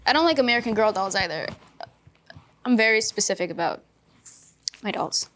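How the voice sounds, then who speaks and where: A young woman chatting casually in a quick question game, telling stories with lots of fillers like "like.". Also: medium pitch, expressive, fairly bright tone, faint music behind. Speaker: actress, interview guest. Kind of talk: casual rapid-fire chat.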